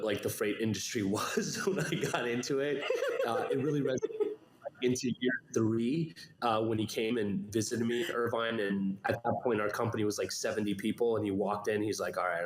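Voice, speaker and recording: A man's voice: lowish voice, fairly even intonation, normal speed, roomy sound, slight background noise, low-quality recording. A man born in Afghanistan, a company founder answering interview questions in an easygoing way, fond of catchy sayings. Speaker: startup CEO guest.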